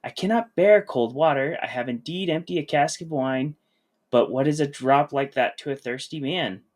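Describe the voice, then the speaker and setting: A man's voice: a little high, moderate pace, close to an everyday voice. A man voicing a miserable, thirsty man who complains in old-fashioned phrases in a fairy tale read-aloud. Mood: complaining.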